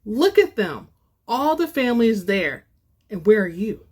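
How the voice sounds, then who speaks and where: A woman's voice: mid-range voice, big pitch swings, unhurried. A woman voicing a scheming, scornful companion in a dramatic story reading, scoffing, coaxing and impatient. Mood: disdainful. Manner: scoffing, cajoling, demanding.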